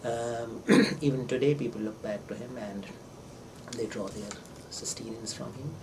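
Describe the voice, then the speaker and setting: Older indian guy, urdu native, professor style lit talk, hesitant n deliberate, far from mic w noisy background. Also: medium pitch, fairly even intonation. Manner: deliberate, hesitant phrasing with repetitions. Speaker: English professor, visiting scholar. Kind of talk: informal literary lecture for an interviewer. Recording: distant microphone with clear background noise.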